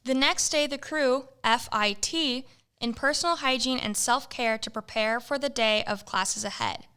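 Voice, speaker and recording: Bright, crisp woman narrator. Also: a little high, moderate pace. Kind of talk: scripted narration, reading stage directions.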